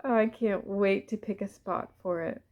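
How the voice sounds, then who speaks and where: A woman gently leading a guided visualization in the first person, soft and unhurried, pausing between short phrases. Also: mid-range voice, steady tone, narrow, tinny audio.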